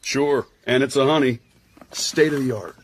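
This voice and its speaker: Husky, wisecracking American agent played by a man. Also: mid-range voice, normal speed, close to an everyday voice. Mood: brisk, wisecracking. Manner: slangy, wisecracking. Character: wisecracking American intelligence agent, jaunty, irreverent old pal. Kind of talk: radio drama acting.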